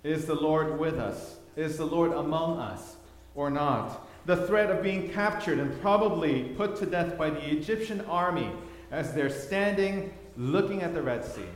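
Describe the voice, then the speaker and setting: A man from Thailand giving a sermon with slides, telling the story with repeated words for emphasis. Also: fairly high pitch. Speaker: preacher. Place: church hall. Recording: echoey hall, long reverb.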